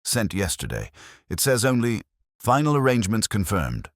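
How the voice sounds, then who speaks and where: A man's voice: lowish voice, sing-song, normal speed, no echo, clean studio sound, voice pushed up. A man voicing an earnest, attentive doctor and detective's companion in an audiobook, speaking factually. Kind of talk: audiobook detective story dialogue.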